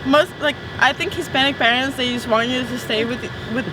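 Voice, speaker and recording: A young woman talks in a high, bright register, giggling and filling every pause with "like". She answers casual street questions outdoors, with loud traffic and city noise all around. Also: fairly even intonation. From El Salvador. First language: Spanish. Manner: chatty, giggly, many fillers. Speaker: interviewee.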